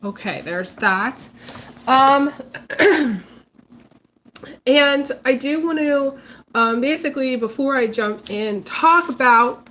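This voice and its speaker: A woman's voice: high-pitched, lively intonation, takes it slowly, long pauses, pushed and bright, slight background noise. A congested woman hosting a casual live stream as a psychic reader, talking hesitantly with many ums and restarts. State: congested, recovering from illness. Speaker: psychic reader, channel host.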